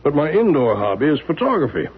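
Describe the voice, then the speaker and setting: An actor playing a calm professor who explains things in a measured way in an old radio drama. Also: male voice, fairly high pitch, slightly rough, heavily acted. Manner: measured, lively storytelling delivery. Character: calm older professor neighbor, gentle and explanatory. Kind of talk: 1970s radio drama acting. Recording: tinny lo-fi old radio broadcast.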